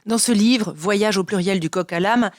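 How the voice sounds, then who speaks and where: A woman's voice: medium pitch, moderate pace, no echo, studio quality. A woman hosting a literary radio interview, reading and asking questions. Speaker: radio host interviewing a guest.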